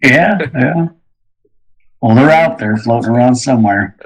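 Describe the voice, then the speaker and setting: A man's voice: mid-range voice, measured pace, slight background noise. A man explaining things casually as an author in a group conversation. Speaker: game book author.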